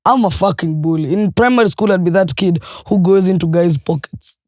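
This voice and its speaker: A woman's voice: fairly low pitch, a little fast, a little mellow, dry recording. A woman co-hosting a podcast in a studio, talking bluntly and expressively and swearing freely. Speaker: podcast co-host. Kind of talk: casual podcast chat.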